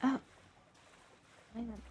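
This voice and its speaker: A woman's voice: very slow, a little mellow, highs cut off. A young woman commenting casually on her gameplay, thinking aloud in a hesitant way with many fillers and trailing phrases. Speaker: game streamer.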